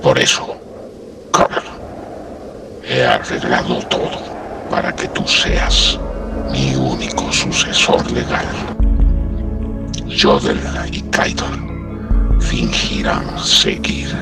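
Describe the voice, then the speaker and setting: An actor playing a weary, grave German dictator in a radio drama, with a gravelly, halting, solemn delivery. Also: male voice, extremely low pitch, little pitch movement, exaggerated voice. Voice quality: very creaky, gravelly. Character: Hitler, weary middle-aged German dictator, grave and resurrected. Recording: loud music under the voice.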